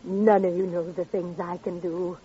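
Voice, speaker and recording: A woman's voice: fairly low pitch, level tone, normal speed, warm tone, natural voice. A frail, unhinged spinster, played by a woman in an old radio drama. Her speech is brittle and evasive, with an eerie menace beneath it, and the recording is muffled with a little noise. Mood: defensive, unhinged. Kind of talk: 1940s-50s radio drama acting.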